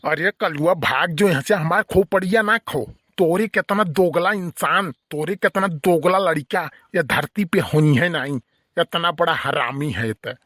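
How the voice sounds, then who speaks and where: A man's voice: a little high, a little slow, fairly bright tone, no room sound, studio quality. A man voicing a grumpy, irritable old village uncle in an Awadhi comedy cartoon, scolding and complaining in an Awadhi accent. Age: older.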